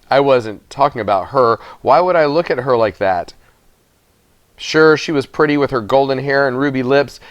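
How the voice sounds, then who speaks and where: A man's voice: medium pitch, smooth, no echo. Man reading a storybook, dry sound. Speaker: audiobook reader. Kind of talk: expressive storybook narration.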